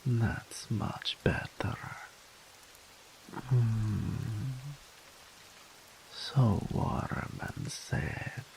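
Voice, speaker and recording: A man voicing a doting, possessive male incubus demon in an ASMR roleplay audio, speaking softly and slowly in a whispery, pampering way. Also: lowish voice, creaky, background noise, exaggerated voice. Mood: doting, tender. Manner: soft, slow, whispery and pampering.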